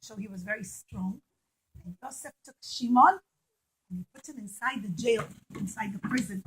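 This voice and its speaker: A woman's voice: mid-range voice, normal speed, slight background noise, rough recording. A woman telling a puppet-show story to young children as their teacher, in simple repeated phrases with questions to her listeners.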